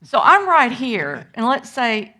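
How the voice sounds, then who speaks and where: Lively older woman telling a story. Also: a little high, slow pace. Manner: lively, animated storyteller who acts out moments. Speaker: interview guest recalling a historic day. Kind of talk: storytelling to schoolchildren.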